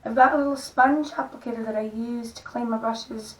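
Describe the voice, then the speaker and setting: A middle-aged woman with a croaky voice, gently talking through a makeup tutorial in a soft, unhurried way. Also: a little high, steady tone, rough recording. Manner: soft-spoken, unhurried, stops and restarts gently. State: hoarse, croaky voice. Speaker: makeup vlogger. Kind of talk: casual makeup tutorial talk.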